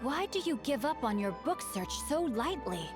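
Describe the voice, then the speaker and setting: High, animated bookish boy. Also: moderate pace. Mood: curious. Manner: polite, articulate, enthusiastic. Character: refined young bookish boy hero, earnest, easily absorbed. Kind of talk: video game voice acting. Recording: loud game music behind the voice.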